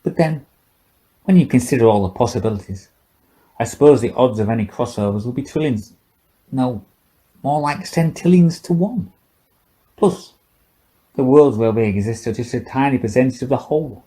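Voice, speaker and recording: A man's voice: medium pitch, expressive, normal speed, long pauses, mellow, close to an everyday voice. A man voicing a drunken, rambling old male scientist with slurred, sarcastic speech in an audiobook. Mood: musing, rambling. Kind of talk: audiobook character dialogue.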